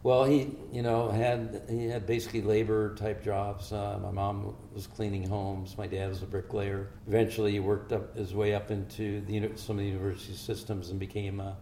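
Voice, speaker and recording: Low gravelly older man in an echoey room. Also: level tone, warm tone, a little hiss or hum. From Hungary. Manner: measured, explanatory. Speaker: physician guest. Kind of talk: interview answers. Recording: echoey room with faint ambience.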